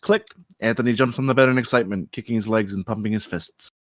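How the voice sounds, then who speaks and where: A man's voice: on the low side, fast talker, no room sound. A man reading out stage directions as the narrator of a screenplay table read.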